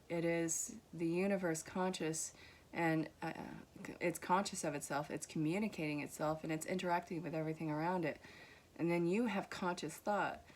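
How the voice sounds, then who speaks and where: A young woman with a blended Vermont and New York accent, giving a casual, reassuring tarot reading and reading card messages aloud to her viewers. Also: sits low. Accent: mixed Vermont and New York. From Vermont, USA. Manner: warm, reassuring, gently teasing, reads card texts aloud. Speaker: tarot reader.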